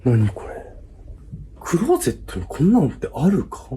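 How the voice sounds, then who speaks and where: A man's voice: a little high, huge pitch swings, moderate pace, a little hiss or hum. A man exploring a haunted place as a video host, reacting in a jittery, frightened way with exclamations and mutters. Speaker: host of a ghost-hunting video series. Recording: loud music under the voice. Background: loud music.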